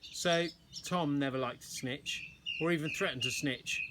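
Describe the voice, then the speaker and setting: A man with a slightly high voice narrates a children's storybook like a primary teacher reading to pupils. Birds chirp clearly behind him, making the recording noisy. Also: a little slow.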